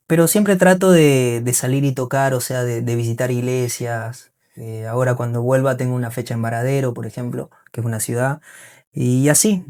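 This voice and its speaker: A man's voice: medium pitch, dark tone. Bolivian-Argentine man explaining himself earnestly. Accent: Bolivian mixed with Argentine. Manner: earnest, explanatory, frequently checks understanding with tag questions. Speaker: interview guest, singer and musician. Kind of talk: casual podcast conversation.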